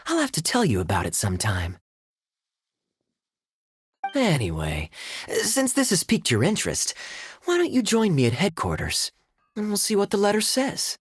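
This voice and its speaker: An actor playing a suave, teasing knight captain in a game cutscene, with a smooth, amused manner. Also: male voice, high voice, huge pitch swings, moderate pace, long pauses, faint music behind, full character voice. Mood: wry.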